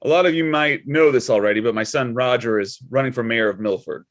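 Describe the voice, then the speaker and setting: A man playing an awkward, earnest widowed father and church deacon in a comedy script read, speaking haltingly. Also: medium pitch, lightly acted. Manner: hesitant, halting.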